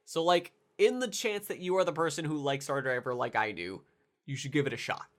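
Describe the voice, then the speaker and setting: A man's voice: fairly high pitch, a little fast. A congested young man with a nasal voice, rambling casually through anime commentary with lots of fillers and restarts. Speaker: anime video reviewer.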